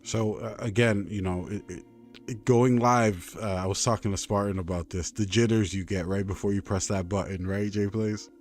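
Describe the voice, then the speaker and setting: A man's voice: lowish voice, steady tone, normal speed, darkish tone, soft background music. A man hosting a live gaming stream, chatting in a casual, laid-back way. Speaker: stream host.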